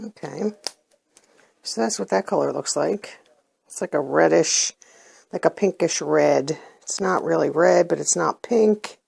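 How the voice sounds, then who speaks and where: A middle-aged woman with a hoarse voice from a cold, chatting casually as she reviews beauty products and often pauses with ums. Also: low-pitched, a little mellow. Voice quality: hoarse, clears her throat. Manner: chatty, plainspoken, hesitant with ums. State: getting over a cold, losing her voice. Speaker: unboxing vlogger.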